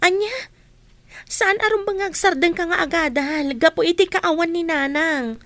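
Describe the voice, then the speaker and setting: A worried, dutiful young daughter in a radio play. Her voice is very high and full of care for her siblings. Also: normal speed, a bit bright, dry recording. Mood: upset. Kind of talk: radio drama acting.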